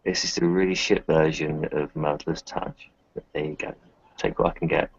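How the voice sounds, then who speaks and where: Casting: male roleplayer, low creaky voice, casual game talk, dull lo-fi sound. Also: level tone, normal speed. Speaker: tabletop game player. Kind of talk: casual game play, describing actions and dice. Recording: dull lo-fi audio.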